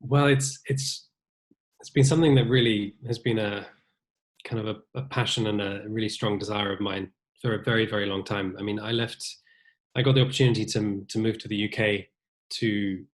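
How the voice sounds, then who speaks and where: A young man with a British accent and a somewhat low voice, answering interview questions about his music. He speaks in a relaxed, searching way, circling back with fillers and restarts as he works out his thoughts. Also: normal speed. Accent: British English. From New Zealand. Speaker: violinist guest. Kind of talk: casual interview conversation.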